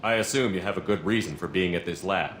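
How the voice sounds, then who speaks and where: Military commander in a game, low voice, firm and clipped, talks fast. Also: male voice, fairly bright tone, a little hiss or hum. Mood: stern. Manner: terse, firm questioning. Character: male military commander, stern, controlled, probing. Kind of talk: video game acting.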